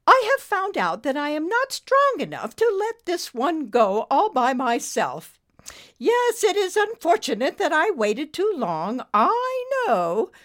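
A woman's voice: high voice, wildly swooping pitch, unhurried, a bit bright, pitched above the performer's own voice, exaggerated voice. A woman voicing a stately, theatrical lady snake with a posh British accent and a gushing, melodramatic manner in a story. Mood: urgent. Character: stately, theatrical lady snake, flustered, charming and self-pitying.

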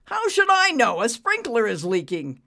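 Woman voicing a loud, bullying male boss. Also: medium pitch, very animated, a little slow, pitched above the performer's own voice. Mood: angry, shouting. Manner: barking, demanding, dismissive. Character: rude, bullying, arrogant male bank boss. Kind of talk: audiobook character voice.

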